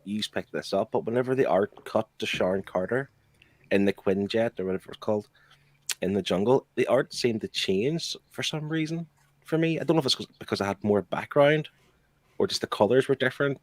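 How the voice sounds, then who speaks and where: A man's voice: on the low side, a little fast, dry recording. A man co-hosting a podcast, talking casually in a book club discussion. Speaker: podcast co-host.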